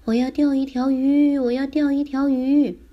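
A woman voicing an eager, clever young boy in a children's story, with an excitable, exclamatory delivery. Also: a little high, a little slow, highs cut off, voice pushed up. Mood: chanting.

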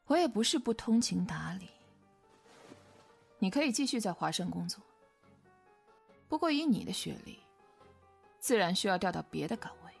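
A woman playing a cold, vengeful company boss in an audio drama, speaking in a contemptuous, commanding way with a breathy voice. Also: medium pitch, very animated, normal speed, pauses often, full character voice. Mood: coldly taunting. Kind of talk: modern audio drama acting. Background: faint music.

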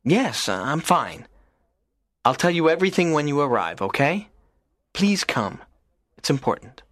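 An actor playing a lonely, earnest teenage schoolboy in an audiobook, talking in a soft, low, pleading way. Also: male voice, big pitch swings, a little slow, no echo, studio quality. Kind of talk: audiobook character reading.

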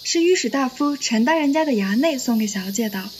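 Woman playing high-voiced deferential young maid. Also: animated, fairly quick, darkish tone, a little hiss or hum. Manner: polite, deferential. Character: deferential young maidservant of ancient China. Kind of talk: audio drama acting. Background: faint music.

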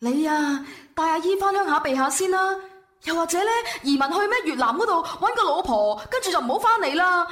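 Woman playing a very high-pitched worried Cantonese girlfriend. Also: expressive, fairly quick, fairly bright tone, echoey room. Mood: caring, torn. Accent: Cantonese, Guangdong. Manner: urgent, emotive, scolding yet caring. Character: worried, devoted young gangster's sister, dramatic girlfriend. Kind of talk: internet radio drama acting.

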